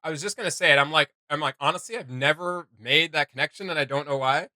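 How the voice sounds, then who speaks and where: A young man with a bright, forceful voice. He chats as a reaction host, casual, slangy and keen, in a close, dry recording. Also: fairly high pitch, fast talker, no room sound. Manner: enthusiastic, slangy. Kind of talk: casual chat, fan reaction.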